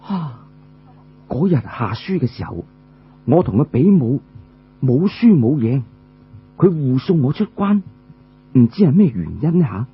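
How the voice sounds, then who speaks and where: A man's voice: mid-range voice, sing-song, unhurried, long pauses, breathy edge, lo-fi sound, heavily acted. A man voicing an earnest young male warrior who muses to himself in an audiobook tale. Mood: admiring, puzzled.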